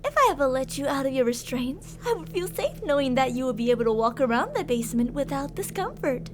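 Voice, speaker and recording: A high-voiced, obsessive female captor, acted by a woman in an ASMR audio roleplay. She coos sweetly and threatens in the same breath, her pitch swinging theatrically. There is clear noise behind her voice. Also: very animated, a little fast. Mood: softly menacing. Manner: sugary endearments, menacing, theatrical. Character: obsessive yandere woman captor, doting yet menacing.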